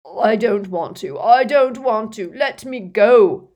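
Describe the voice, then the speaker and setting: A woman's voice: deep voice, animated, unhurried, darkish tone. A woman voicing an elderly male wizard mentor in an audiobook story, authoritative and insistent, with intense emotion. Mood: terrified pleading. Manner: emphatic, insistent, moaning and groaning under torment.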